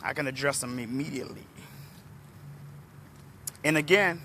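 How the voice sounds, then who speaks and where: A middle-aged man from Washington, D.C., a motivational speaker, talking hoarsely and chattily to viewers on a phone held at arm's length outdoors. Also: medium pitch, moderate pace, background noise. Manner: relaxed, chatty, addresses viewers by name. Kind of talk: live-streamed talk to viewers. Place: outdoors in a parking lot under trees.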